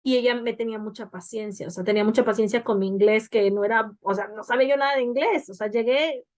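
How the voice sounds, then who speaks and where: A woman's voice: a little high, fast, a little mellow. A young woman, co-hosting a podcast and reflecting on her past in casual talk, often with "o sea". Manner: reflective, many fillers like "o sea". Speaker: podcast co-host.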